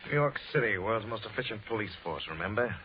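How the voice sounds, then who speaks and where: A man's voice: fairly low pitch, expressive, fast, natural voice. An actor playing a jealous, sardonic tough man with cool, taunting menace in a 1940s radio drama. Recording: dull old radio recording.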